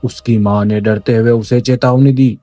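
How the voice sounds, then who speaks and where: A man's voice: mid-range voice, steady tone, moderate pace, dark tone. A man giving dramatic, scripted horror narration in an ominous, suspenseful manner, with music behind.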